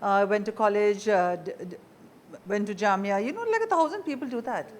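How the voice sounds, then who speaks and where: A woman's voice: medium pitch, expressive, a little fast, darkish tone, slight background noise. A woman with an Indian accent hosting a conversational interview with informal, direct questions. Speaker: interview host.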